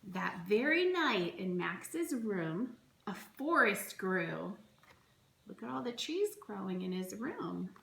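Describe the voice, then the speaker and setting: Slow, bright woman reading a storybook. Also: mid-range voice, gravelly. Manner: expressive, deliberate, with exclaimed asides. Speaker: storybook reader for children. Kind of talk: dramatic children's picture-book reading. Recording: roomy echo, faint background noise.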